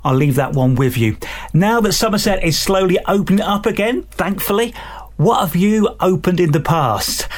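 A man presents a local music radio show in a fairly high voice. He links songs and small stories in a chatty style, with a tongue-in-cheek, gently self-mocking touch.

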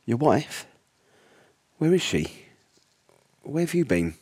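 A man's voice: medium pitch, sing-song, takes it slowly, a little mellow, slightly rough, dry recording, voice pushed up, exaggerated voice. A man voicing a terrified young woman who is short of breath. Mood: frightened. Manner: frightened, breathless.

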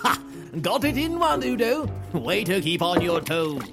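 A man's voice: sits high, sing-song, a little slow, gravelly, exaggerated voice. An actor playing an eccentric, absent-minded male wizard mentor in a fantasy audio drama, with theatrical, cheerful delivery. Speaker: Magus Elgar. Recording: music behind voice.

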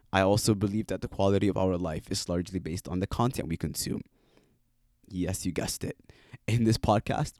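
Very deep-voiced man musing aloud. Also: darkish tone, no echo, clean studio sound. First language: Somali. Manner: casual, playful, full of rhetorical questions. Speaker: podcast host. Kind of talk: solo reflective podcast monologue.

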